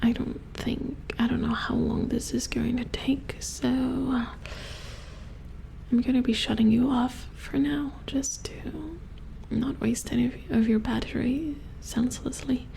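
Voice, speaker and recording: A woman playing a weary, puzzled robot repair engineer in a soft-spoken ASMR horror roleplay, murmuring hesitantly in a hushed, breathy voice close to the mic. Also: a little high, steady tone, a little slow, a little hiss or hum. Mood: hesitant, businesslike. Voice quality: breathy whisper with fry. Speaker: ASMR performer. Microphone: close ASMR microphone.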